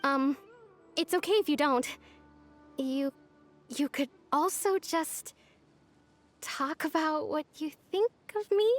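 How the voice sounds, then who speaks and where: A gentle young village woman with a high, sweet voice. She speaks very slowly and hesitantly, trailing off, over soft game music. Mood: shy. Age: young adult.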